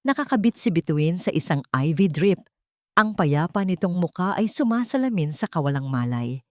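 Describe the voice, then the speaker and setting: Low-voiced woman narrating a story. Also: normal speed, no room sound, studio quality. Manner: steady, emotive storytelling. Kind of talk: scripted narration of a love story.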